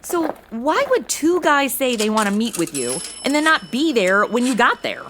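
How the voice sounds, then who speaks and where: A brash young American in an audio comedy, high-pitched and extremely animated. She is sassy and dramatic, full of modern slang and ditzy bravado. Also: moderate pace, noisy background. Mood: sassy, puzzled.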